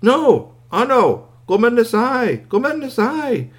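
A man's voice: very high-pitched, very animated, slow pace, voice pushed up, exaggerated voice. A man voicing a small, earnest talking toy robot in a children's story, chiding and fretful, repeating phrases insistently. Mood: urgent, upset.

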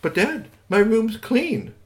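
A man's voice: high voice, big pitch swings, takes it slowly, slightly rough, pitched above the performer's own voice, exaggerated voice. A man voicing a whiny young boy who does not want to do his chores. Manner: whining.

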